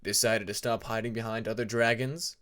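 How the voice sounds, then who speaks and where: A man's voice: lowish voice, fairly even intonation, fairly quick, fairly bright tone, close to an everyday voice. A man voicing a proud, menacing male dragon with a taunting edge in an audiobook story. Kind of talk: fan fiction audiobook character voice.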